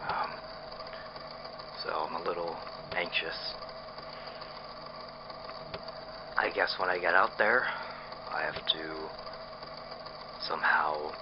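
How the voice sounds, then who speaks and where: Man acting a low, halting captive on muffled tape. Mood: anxious, shaky. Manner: halting, hesitant, breathy sighs. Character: frightened, bitter male captive test subject planning escape. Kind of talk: audio drama recorded diary monologue. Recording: muffled lo-fi tape with clear noise.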